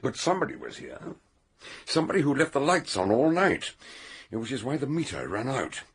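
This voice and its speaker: An actor playing a shrewd, genial older British detective in a radio mystery, questioning courteously and narrating the case. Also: male voice, mid-range voice, sing-song, fairly quick, breathy edge. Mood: probing.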